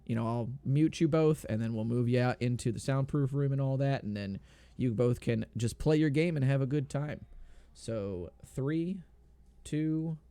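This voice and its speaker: A man's voice: medium pitch, warm tone, clear, resonant tone, no room sound. A man commentating a video game speedrun race, explaining in a laid-back way with lots of fillers.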